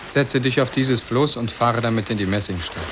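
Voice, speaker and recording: An actor playing a strange creature who serves as a solemn, proclaiming guide in a 1950s German radio play. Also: male voice, mid-range voice, normal speed, close to an everyday voice. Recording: old radio tape, crowd noise behind.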